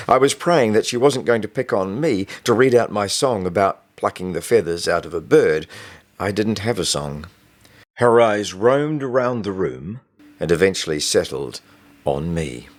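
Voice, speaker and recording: Low-voiced man narrating an audiobook. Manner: first-person schoolboy storytelling, conversational. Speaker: author reading own novel.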